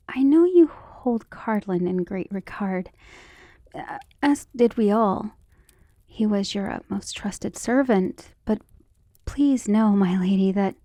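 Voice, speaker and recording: Woman acting a loyal maidservant for asmr roleplay, soft mellow voice, close dry sound, gentle n formal, sometimes whispery. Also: medium pitch, no room sound. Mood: earnest, loyal. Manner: deferential, gentle, formal period phrasing. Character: devoted, outspoken female servant of a noble household. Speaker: voice actor in an ASMR roleplay audio drama.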